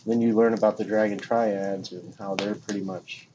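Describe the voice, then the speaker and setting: A man's voice: on the low side, steady tone, moderate pace, dark tone. A man chatting casually about spiritual ideas in an informal, loosely phrased way. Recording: dull lo-fi recording with clear background noise.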